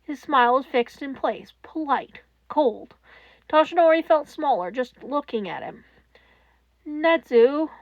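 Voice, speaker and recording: A woman narrating scripted fan fiction in a solemn, measured way with dramatic pauses. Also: high voice, expressive, no room sound, muffled recording.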